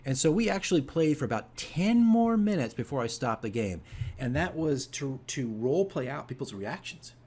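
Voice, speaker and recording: A man's voice: medium pitch, sing-song, normal speed, darkish tone, breathy edge. A man hosting a casual video diary as a game master, explaining things conversationally and emphatically, often checking in with the listener.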